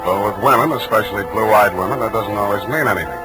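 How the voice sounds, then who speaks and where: An American actor playing a wry, unflappable private detective in a 1940s radio drama, with dry, clipped, wisecracking delivery. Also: male voice, fairly low pitch, fast, close to an everyday voice, rough recording. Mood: wry narration. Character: wry, unflappable male private detective narrating his case. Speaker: radio drama lead and narrator. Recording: 1940s radio broadcast with music.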